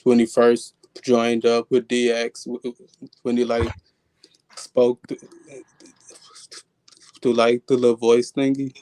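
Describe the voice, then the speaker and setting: A man in his late twenties who rambles in a casual chat, stumbling over his words and swearing freely. His voice stays flat and even. The sound is dry and close. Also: mid-range voice, slow pace, pauses often, no echo. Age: 20s. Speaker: podcast co-host.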